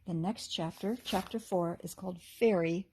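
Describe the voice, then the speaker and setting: An American woman, a teacher, reads a children's book aloud in an animated, expressive way, acting out the dialogue. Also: on the low side, moderate pace, a little hiss or hum. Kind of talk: expressive storybook narration.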